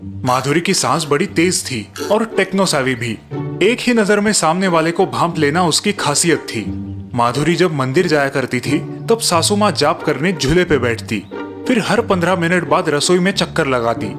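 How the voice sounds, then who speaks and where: A man's voice: a little high, lively intonation, normal speed, over music. A man reading scripted narration for a cartoon story.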